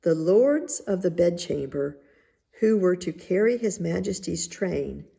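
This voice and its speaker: A woman reading a children's tale aloud as narrator, in a measured, deliberate way. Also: lowish voice, lively intonation, gravelly, warm tone. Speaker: story reader. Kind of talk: scripted storybook narration.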